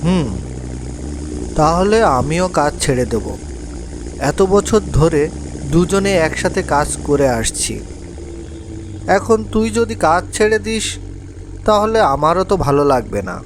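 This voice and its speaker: An actor playing a loyal, practical, sceptical lorry driver in a horror cartoon. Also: male voice, a little high, animated, a little slow, pauses often. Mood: concerned, loyal. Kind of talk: cartoon voice acting. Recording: faint engine noise behind.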